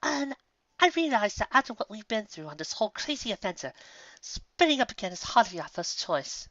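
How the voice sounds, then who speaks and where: A woman's voice: mid-range voice, very animated, fairly quick, fairly bright tone, dry recording, highs cut off, voice pushed up. A woman voicing a refined, theatrical and sincere female pony in a storybook reading, speaking eloquently. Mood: reasoning.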